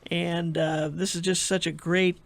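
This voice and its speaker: Male radio host from the Midwest, rambling and talkative, raised pitch with vocal fry. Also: flat delivery, a little slow. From American Midwest. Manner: chatty, rambling questions. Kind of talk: radio interview.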